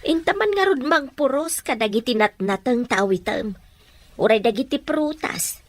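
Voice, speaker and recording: Lively, caring older mother played by an actress. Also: high-pitched, moderate pace. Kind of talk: acted Ilocano audio drama.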